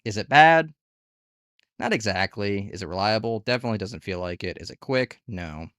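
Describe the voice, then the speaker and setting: Animated casual man reviewing online work. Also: lowish voice, moderate pace, clean tone, no room sound, studio quality. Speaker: video host reviewing online gig work platforms. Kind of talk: conversational scripted review and tutorial narration.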